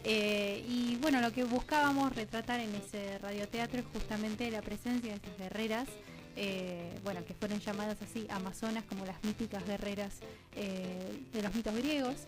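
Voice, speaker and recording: A woman with an Argentine accent explaining things conversationally as an interview guest, halting and correcting herself as she goes. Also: medium pitch, steady tone, low-quality recording. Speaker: interview guest, radio drama scriptwriter. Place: radio studio. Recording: music clearly playing behind voice.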